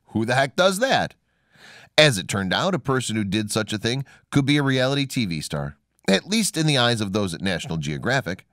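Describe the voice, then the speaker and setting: A man's voice: medium pitch, fairly bright tone, no echo, studio quality. A man reading scripted narration in the style of a documentary.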